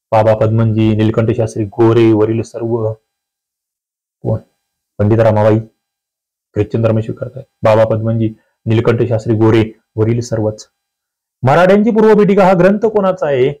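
Maharashtrian guy doing exam coaching, mellow voice, reads out questions then asks and answers, emphatic. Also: mid-range voice, rough recording. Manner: instructive, emphatic, often asks rhetorical questions. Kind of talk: quiz-paper lesson, reading questions and answers aloud.

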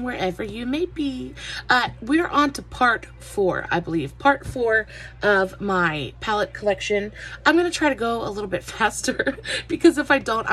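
A woman's voice: a little high, fairly bright tone, a little hiss or hum. A young adult woman chatting through a makeup palette review, a bit nasal and stuffy from allergies, with lots of filler words. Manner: chatty, many "uh" fillers, friendly greetings. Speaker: beauty vlogger.

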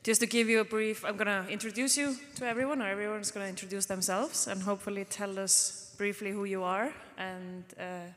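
A woman's voice: medium pitch, a little fast, few pauses, slight background noise. Woman, from Iceland, board chair, casual and chatty, hall reverb. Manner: relaxed, chatty, with frequent fillers. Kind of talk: chairing a public meeting. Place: conference hall stage.

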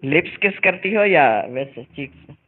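A man's voice: a little high, lively intonation, unhurried. A man chatting casually and asking questions in a private recorded phone call. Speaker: caller.

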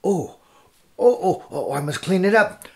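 An anxious storybook servant acted by a man, flustered and deferential. The high voice hesitates and repeats itself, rising and falling a lot. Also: big pitch swings, a little slow, vocal fry, darkish tone, slightly rough, heavily acted. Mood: flustered, apologetic.

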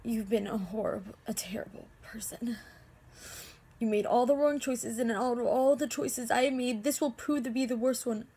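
A woman acting an anguished, lovestruck young heroine in amateur supernatural-drama monologues, with emotional, halting delivery. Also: a little high, fairly even intonation, mellow, natural voice. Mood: defiant, loving.

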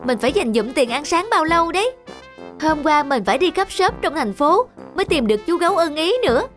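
A woman's voice: high-pitched, animated, a little fast, a bit bright, faint music behind. A woman voicing a chatty, starstruck schoolgirl in a children's cartoon, eager and gushing. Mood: excited.

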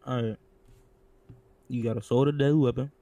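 Laid-back man with a creaky, dark voice. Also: mid-range voice, steady tone, a little fast, no echo. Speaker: role-player acting as police interrogator. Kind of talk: improvised game roleplay, casual slang.

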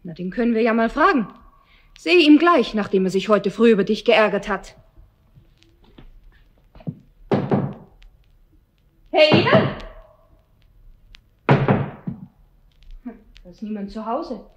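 A somewhat high-voiced mother in a children's radio play. She is a proper, easily flustered neighbour. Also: very animated, brisk, background noise. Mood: concerned. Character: mother, suspicious neighbour turning embarrassed and apologetic.

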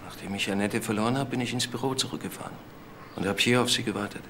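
A man's voice: lowish voice, steady tone, quick pace, a bit bright, close to an everyday voice. A man playing a defensive, earnest widower being questioned in a crime drama. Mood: defensive, strained. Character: defensive widowed husband under police questioning. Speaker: actor playing a questioned husband. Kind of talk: German TV crime drama dialogue. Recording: clearly noisy drama soundtrack.

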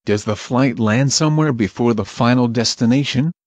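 A man reading English travel phrases one by one in a measured, plain way for learners. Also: mid-range voice, a little mellow, no room sound. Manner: measured, plain, each phrase read alone. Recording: dull, slightly muffled dry audio.

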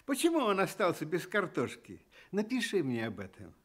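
An actor playing a friendly storyteller who coaxes young listeners expressively on a children's radio show. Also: male voice, high-pitched, normal speed, heavily acted. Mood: friendly, inviting. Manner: coaxing, expressive.

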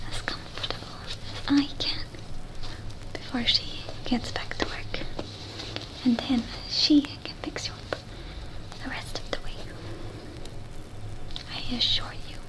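A young woman playing a patient robot repair technician in a soothing, soft-spoken ASMR roleplay. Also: high-pitched, poor audio. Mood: reassuring. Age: young adult. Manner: soothing, slow, reassuring. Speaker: ASMR performer. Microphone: close microphone.

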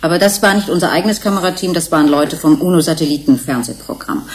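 An actress playing a frank, composed research scientist in a radio play, explaining things emphatically. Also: female voice, sits low, quick pace, lightly acted. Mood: frank, explanatory.

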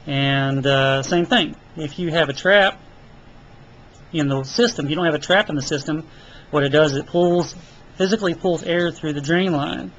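Guy walking thru a diagram, kinda high voice, halting with lots of uhs, dull lo-fi home video with a bit of hiss. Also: a bit bright. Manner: casual, halting, many fillers. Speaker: HVAC technician. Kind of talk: explaining while sketching diagrams. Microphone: camera filming paper close up.

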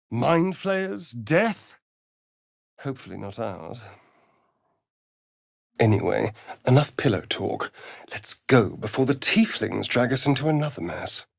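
An actor playing a centuries-old, flamboyant and sardonic vampire rogue in a video game, with an arch, theatrical drawl. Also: male voice, mid-range voice, no room sound, studio quality, exaggerated voice. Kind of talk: video game character acting.

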